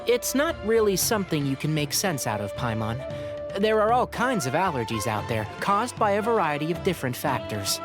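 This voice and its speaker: A woman's voice: sits low, big pitch swings, moderate pace. A woman voicing an earnest, caring young woman in a video game who patiently explains things. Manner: warm-hearted, earnest, gently patient. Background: game music.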